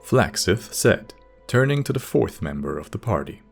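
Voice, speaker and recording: Deep-voiced male fantasy audiobook narrator. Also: big pitch swings, normal speed, creaky, soft background music.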